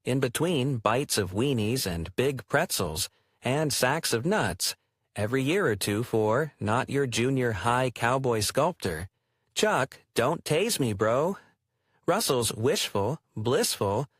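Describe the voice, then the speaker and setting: A man's voice: medium pitch, a bit bright, husky, no echo, clean studio sound. A man performing theatrical spoken-word poetry in a wry, declamatory way.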